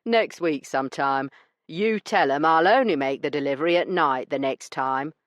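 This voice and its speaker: A woman reading a smirking, insolent male crook in a drawling, lower-class manner, in a dry recording. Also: normal speed, dry recording, lightly acted.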